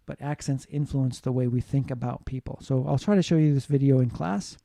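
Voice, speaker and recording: A man's voice: mid-range voice, brisk, gravelly, warm tone, dry recording, studio quality. A man from the United States giving a classroom lecture on phonetics, explaining steadily and often asking "right?" to check in with students. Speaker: English teacher.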